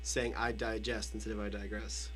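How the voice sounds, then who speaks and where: A man's voice: on the low side, flat delivery, moderate pace, fairly bright tone. A young man hosting a casual radio show, bantering in a jokey, rambling way. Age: young adult. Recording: faint mains hum.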